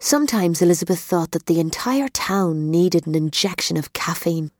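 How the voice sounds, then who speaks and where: A woman narrating an audiobook in a measured, expressive storytelling manner, recorded in a studio. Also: fairly low pitch, dry recording.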